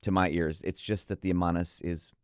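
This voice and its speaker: Deep voiced guy doing a chatty enthusiastic product review, super dry close sound. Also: little pitch movement, fairly quick, clear, resonant tone, no echo. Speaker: headphone reviewer co-hosting a product preview.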